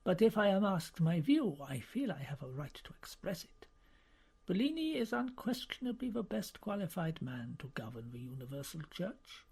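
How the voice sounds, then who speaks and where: A man plays a self-effacing older cardinal in an audiobook novel. He speaks formally and earnestly, with some hesitation, in a husky, breathy voice. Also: high-pitched, sing-song, moderate pace, a little mellow, heavily acted. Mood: earnest, uneasy. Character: older male cardinal dean, modest, courteous, earnest.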